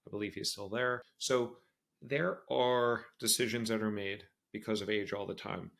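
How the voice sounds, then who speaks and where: A man's voice: on the low side, vocal fry, a bit bright. A man in his late forties hosting a solo career advice podcast, talking candidly and conversationally. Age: 40s. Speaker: podcast host.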